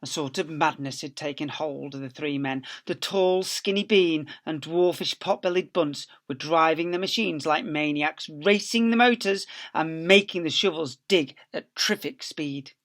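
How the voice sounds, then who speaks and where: A man's voice: a little high, normal speed, hardly any pauses, fairly bright tone. A man, an infant school teacher, reading a story expressively to young children.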